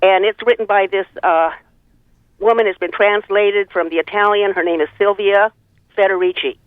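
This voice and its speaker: A woman's voice: mid-range voice, steady tone, normal speed, no room sound. A woman calling a radio show by telephone, chatting in a rambling, earthy, opinionated way. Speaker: caller to a radio talk show. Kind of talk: casual opinionated chat. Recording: tinny, band-limited phone line.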